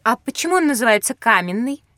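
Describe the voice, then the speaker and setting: An actress playing a polite, inquisitive school-age child, earnest and courteous, in an educational audio drama. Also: female voice, a little high, big pitch swings, fast talker, sharp tone, no room sound, clean studio sound. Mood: interested.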